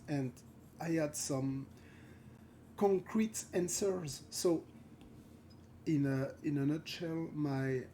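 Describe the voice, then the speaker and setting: A man from France with a French accent, thinking aloud and chatting with viewers while he paints live, halting and searching for words. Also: a little high, warm tone, slight background noise. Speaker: concept art streamer. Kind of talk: live art stream chat.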